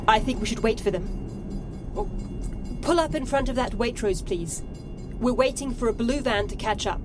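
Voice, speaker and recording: A woman's voice: a little high, expressive, moderate pace, music in the background, close to an everyday voice. A woman playing a composed, inquisitive getaway leader, talking in a conversational, wry way. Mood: wry curiosity.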